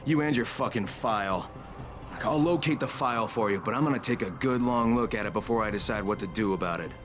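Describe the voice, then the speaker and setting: A man's voice: lowish voice, a little fast, over music, natural voice. Blunt, weary male agent played by an actor. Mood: angry. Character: undercover male agent, adult, weary, blunt but caring. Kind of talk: naturalistic video game acting.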